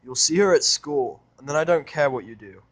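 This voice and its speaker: A man's voice: a little high, lively intonation, a little fast, pitched above the performer's own voice, poor audio. A man playing a surly, cynical, menacing human loner in a story, speaking in a curt, hard, scornful way. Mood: threatening.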